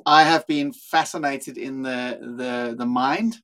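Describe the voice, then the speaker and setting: A man's voice: medium pitch, steady tone, slow, fairly bright tone. South African man, thoughtful restarts. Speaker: guest, leadership consultant. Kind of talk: podcast interview.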